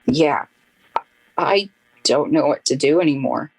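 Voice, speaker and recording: Low-voiced woman acting a grieving young friend. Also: measured pace, breathy edge, exaggerated voice, low-quality recording. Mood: grieving, regretful. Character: grieving, regretful young friend named Ryder. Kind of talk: radio play acting.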